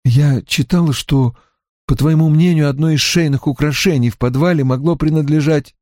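A man's voice: a little high, moderate pace, darkish tone, no echo, studio quality, voice pushed up, lightly acted. A man voicing a hurt, sarcastic grown daughter in an audiobook, speaking in a pointed, reproachful way. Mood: probing. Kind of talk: audiobook character acting.

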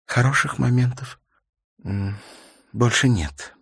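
Male narrator as older widow, grief-stricken, tearful, bitter, pleading, lifted pitch, audiobook drama, dry sound. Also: takes it slowly, vocal fry, fairly bright tone, slightly rough, dry recording, full character voice. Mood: weak despair.

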